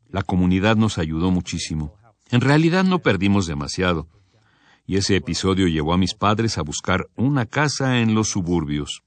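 A man with a notably deep, low voice narrates for a radio program. His delivery is calm and scripted, with reflective, measured phrasing that never hurries. It sounds like a studio read. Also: no room sound. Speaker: radio program voice reading a composer's testimony.

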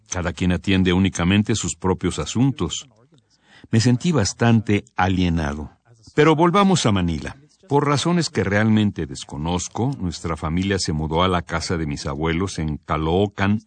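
Deep-voiced man in calm radio narration. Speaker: radio program voice reading a composer's testimony. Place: radio studio.

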